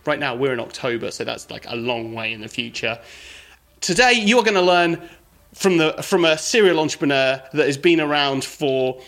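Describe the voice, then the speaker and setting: A man with a British accent hosting a podcast, giving energetic, enthusiastic introductions. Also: mid-range voice, normal speed. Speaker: podcast host.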